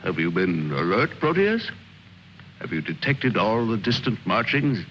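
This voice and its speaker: An actor playing a brooding, world-weary general who muses slowly and morbidly, in an old film drama. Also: male voice, fairly low pitch, big pitch swings, slight background noise. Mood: taunting, musing. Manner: slow, solemn, theatrical musing. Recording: dull old film soundtrack, loud music.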